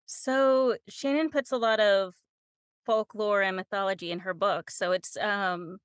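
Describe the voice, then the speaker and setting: A woman's voice: mid-range voice, fairly even intonation, unhurried, clean tone, no room sound, clean studio sound. Youthful-sounding woman, casual and giggly. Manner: giggly, informal. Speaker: audiobook narrator, podcast guest. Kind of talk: casual conversational interview.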